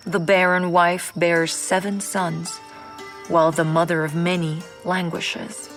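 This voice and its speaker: A devout young mother speaks her prayer with a low, slightly gravelly voice. She recites slowly and solemnly, every line measured. Soft music plays underneath the studio recording. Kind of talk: audio drama scripture reading.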